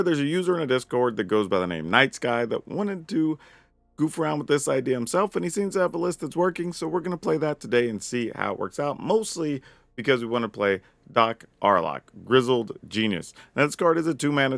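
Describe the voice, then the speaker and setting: A man with a raspy voice giving an upbeat, casual rundown of his card game deck as a channel host. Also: medium pitch, a bit bright, no echo. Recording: dry close mic with faint music.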